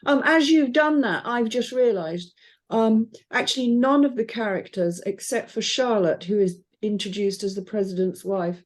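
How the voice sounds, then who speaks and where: A woman's voice: a little high, normal speed. A woman discussing a script informally in a group, questioning and thinking aloud in a tentative way. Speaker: script panel reader.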